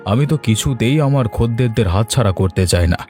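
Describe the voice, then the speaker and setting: A man's voice: mid-range voice, fast talker, dark tone, soft background music, natural voice. A man playing an envious, calculating rich merchant villain in a cartoon story. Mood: scheming. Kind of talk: Bengali cartoon story acting.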